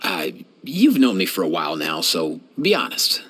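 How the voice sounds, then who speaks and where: A lively, easygoing young animal doctor. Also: male voice, deep voice, moderate pace, slightly rough, exaggerated voice. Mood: earnest, gentle. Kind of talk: scripted video game character voice lines.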